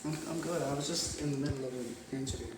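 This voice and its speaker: A man playing a weary, sarcastic housemate giving a hesitant, deadpan interview in a mock reality show sketch. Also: fairly high pitch, fast, natural voice. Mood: awkward, sarcastic. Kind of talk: comedy mockumentary sketch. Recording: very echoey, distant, noisy room.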